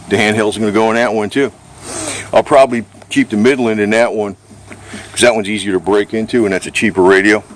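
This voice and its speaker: Low-voiced man rambling casually over background noise. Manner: rambling, informal, mildly profane. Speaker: vlogger reviewing radio gear. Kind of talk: casual rambling show-and-tell vlog.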